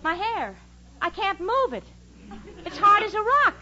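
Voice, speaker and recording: A woman's voice: very high voice, big pitch swings, a little slow, sharp tone, a faint crowd, full character voice. An actress playing a sensible, sarcastic young woman, impatient and easily exasperated, in a 1940s radio comedy. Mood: exasperated, alarmed. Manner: dry jabs, exasperated urgency. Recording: muffled noisy old radio recording, studio audience.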